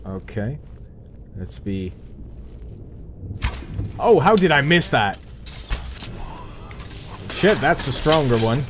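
A man's voice: medium pitch, very animated, slow, long pauses, background noise. A young Dutch man with a Dutch accent, chatting casually to himself as he narrates his own gameplay, muttering and joking at his own expense. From Netherlands. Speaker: gameplay commentator. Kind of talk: casual let's play commentary.

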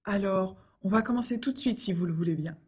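A woman reading scripted everyday dialogues for a French lesson in a clear, careful way. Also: medium pitch, a little fast, a little mellow. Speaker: dialogue reader for French learners.

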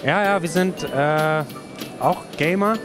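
A young man answers interview questions in a casual way. His voice is a touch high, with music playing behind it. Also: slow. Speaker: festival visitor being interviewed. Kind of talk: casual chat. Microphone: handheld reporter microphone. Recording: music laid over the voice.

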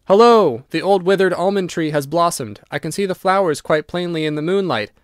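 An excited young boy as a man puts him on calls out loudly in a high, bright voice. The lines burst out eagerly, storybook style. The recording is dry and close. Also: fairly quick, clean tone, no room sound. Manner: loud, exclaiming.

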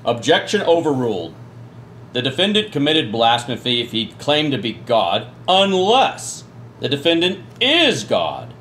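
A man playing a judge in a church radio play, slow and formal. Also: medium pitch, very animated, a bit bright, slight background noise.

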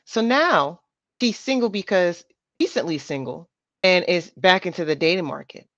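A woman's voice: lowish voice, lively intonation, moderate pace, fairly bright tone, no room sound. A woman hosting a reaction channel, giving emphatic, lecturing commentary on dating. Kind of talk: opinionated commentary. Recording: dull lo-fi dry voice.

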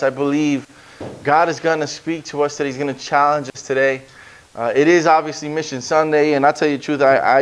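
Energetic pastor. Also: male voice, on the high side. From Brooklyn, New York. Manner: energetic, conversational, stumbles and restarts. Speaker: guest pastor. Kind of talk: sermon with prayer and scripture reading. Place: small church stage with brick walls. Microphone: handheld microphone.

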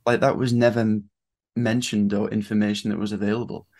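A man's voice: on the low side, flat delivery, brisk, darkish tone. A young British man hosting a podcast with casual interview chat. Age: young adult. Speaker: podcast host. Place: small room at home.

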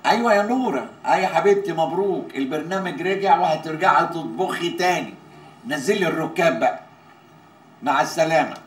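Male actor as officious Egyptian sitcom clerk, demanding, declamatory, high-pitched, roomy sound with faint noise. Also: expressive, a little fast, lightly acted. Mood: casual, chatty. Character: pompous, demanding TV employee dictating absurd contract clauses. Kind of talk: Arabic comedy series acting. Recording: echoey room with faint background noise.

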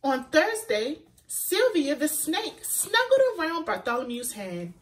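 A woman reading a children's picture book aloud in an expressive, deliberate way, as a storyteller for young listeners. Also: high-pitched. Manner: pauses to point out words to young listeners; animated, deliberate delivery for children.

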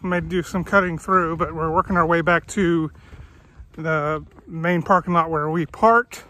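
A man's voice: fairly high pitch, steady tone, a little slow, sharp tone. A man narrating a family hiking vlog outdoors, chatty and pointing things out as he walks. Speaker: family vlogger. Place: outdoor hiking trail in a coastal park.

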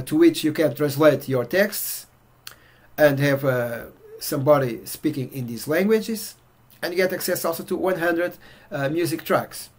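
A middle-aged man from Portugal with a Portuguese accent, walking through a software demo in a relaxed way with many fillers. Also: fairly high pitch. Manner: relaxed, filler-heavy walkthrough. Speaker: software reviewer. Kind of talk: casual screen-share demo. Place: indoor room at home.

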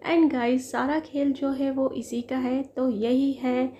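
A woman's voice: sits high, little pitch movement, slow pace, warm tone. A woman in her thirties, a lifestyle vlogger who chats to viewers while unboxing a product at home, admitting she is no expert. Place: living room at home, indoors.

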